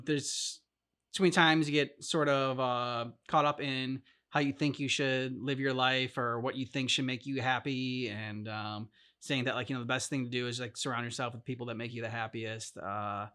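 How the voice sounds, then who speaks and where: A man speaks in a bright, keen-edged voice at an average pitch. He is a reaction show co-host chatting casually, with loose phrasing that stops and starts as he gathers his point. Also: clear, resonant tone. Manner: halting, loose, conversational phrasing. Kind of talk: casual chat about a video game story.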